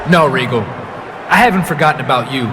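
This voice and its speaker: A man playing a smug, self-assured, wisecracking champion wrestler in a video game, with clear noise behind him. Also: mid-range voice, a little fast, lightly acted. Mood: cocky, teasing.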